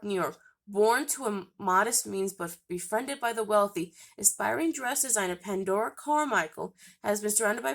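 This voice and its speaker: A woman's voice: mid-range voice, vocal fry, a bit bright. A woman hosting a book channel, sounding nasal from a cold, reading book descriptions aloud with stumbles and self-corrections.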